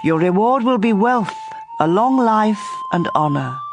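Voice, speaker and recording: A narrator reading scripture in a measured style for a dramatized audio Bible. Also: mid-range voice, animated, noisy background. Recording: music bed under voice. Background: instrumental music.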